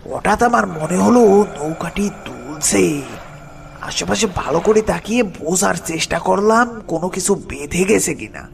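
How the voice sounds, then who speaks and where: A man playing an older village boatman, frightened and hesitant, slowly telling a dramatic horror story with a creaky voice. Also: on the high side. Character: frightened village boatman uncle recounting his haunted past. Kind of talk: horror cartoon story narration. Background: music.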